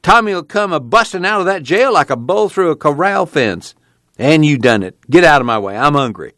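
Cantankerous old grandfather, voiced by a man, blustering folksy talk, raised pitch, dry sound. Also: big pitch swings, moderate pace, dry recording. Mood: gleeful. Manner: loud blustering folksy dialect.

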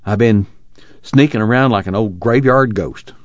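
A man's voice: lowish voice, moderate pace, dry recording, lo-fi sound, natural voice. A man voicing an embittered, haunted rural loner, ranting irritably in rustic dialect in an audiobook novel. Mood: apologetic. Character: embittered, agitated rural man, haunted and lonely, grieving lost land.

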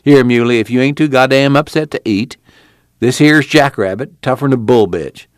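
A man voicing a gruff, hungry young man with a blunt, impatient way of talking. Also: mid-range voice, moderate pace, no echo, studio quality, pitched above the performer's own voice, close to an everyday voice.

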